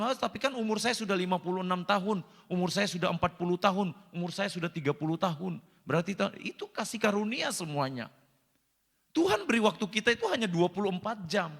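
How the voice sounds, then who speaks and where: A man with a high, gravelly voice preaches to his congregation, circling back on his phrases and leaning hard into key words. The sound carries a long church-hall reverb around him. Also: a bit bright. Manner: repeats phrases, addresses congregation directly, emphatic rises. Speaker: pastor. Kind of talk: sermon.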